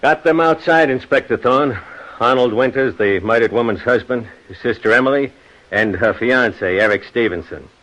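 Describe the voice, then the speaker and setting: An actor playing a brisk, dutiful police sergeant in an old 1950s radio detective drama recording. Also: male voice, mid-range voice, fairly bright tone, close to an everyday voice, rough recording.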